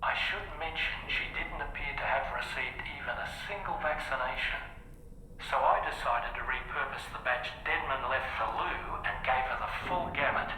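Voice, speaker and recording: A man's voice: mid-range voice, normal speed, sharp tone, soft background music. A man playing a practical, reassuring crew colleague in a video game, matter-of-fact and wordy over a radio link. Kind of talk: dramatic video game cutscene acting. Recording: echoey radio transmission with faint noise.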